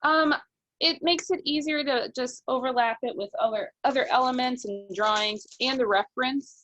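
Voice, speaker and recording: A woman's voice: sits high, normal speed, a bit bright, poor audio. A woman speaking as an illustrator guest in a casual interview, explaining her process step by step in informal language.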